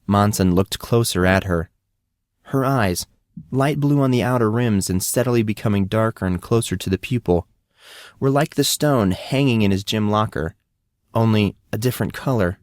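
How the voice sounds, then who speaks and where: A man's voice: fairly low pitch, moderate pace, darkish tone, no room sound, clean studio sound. A man reading scripted fiction as an audiobook narrator.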